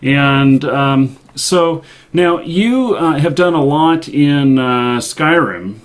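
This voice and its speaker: A man hosting a live online show, interviewing a guest with many halting fillers. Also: a little high, lively intonation. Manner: hesitant, many fillers, laughs along. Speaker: show host.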